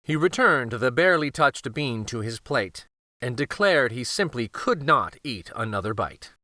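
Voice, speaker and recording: A man narrating a children's storybook aloud, expressive and careful, with dramatic pauses. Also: mid-range voice, no echo, studio quality.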